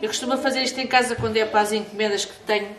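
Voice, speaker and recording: A middle-aged woman with a European Portuguese accent, calmly explaining a family recipe in brief, practical answers. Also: fairly high pitch, rapid-fire. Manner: brief, matter-of-fact answers. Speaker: home cook, the host's mother. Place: home kitchen, indoors. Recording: echoey kitchen with background noise.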